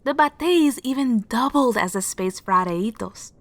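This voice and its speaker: A woman's voice: fairly high pitch, expressive, moderate pace, no room sound. A woman playing a friendly, knowledgeable shopkeeper in an audio drama. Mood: helpful.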